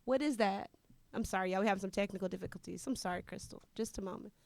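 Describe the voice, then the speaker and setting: A woman's voice: mid-range voice, fast, creaky, a little mellow, dry recording. An American woman hosting a casual live interview, chatting in an informal, colloquial way. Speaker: talk show host.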